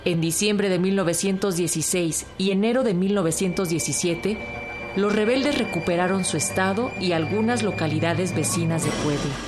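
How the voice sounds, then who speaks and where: A woman's voice: fairly low pitch, normal speed. A woman reading scripted historical narration for a radio docudrama. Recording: music behind the voice.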